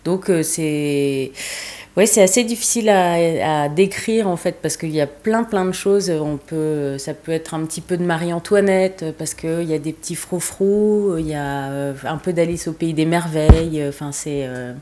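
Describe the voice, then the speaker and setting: A woman's voice: sits low, unhurried, dark tone. A young woman from eastern France, a fashion designer being interviewed, who speaks casually with many hesitations and fillers.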